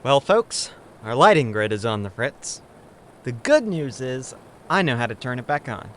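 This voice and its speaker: A man's voice: mid-range voice, big pitch swings, normal speed, a bit bright, slight background noise. An actor playing a breezy, wry male resort worker in an audio drama. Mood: breezy announcing.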